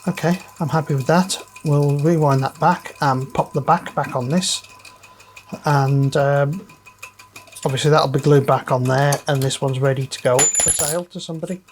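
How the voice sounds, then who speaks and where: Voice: mid-range voice, fairly even intonation, moderate pace, background noise. A person giving casual hands-on repair commentary, thinking aloud while working at the bench. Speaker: presenter demonstrating equipment repair.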